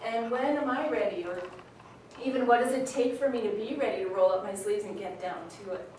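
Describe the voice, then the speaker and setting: A woman's voice: on the high side, fairly quick, darkish tone. A woman giving an informal welcome speech as a presenter, recorded from far off in an echoey, noisy hall. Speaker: presenter introducing the performance. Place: hall before an audience. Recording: echoey hall, distant mic, clear noise.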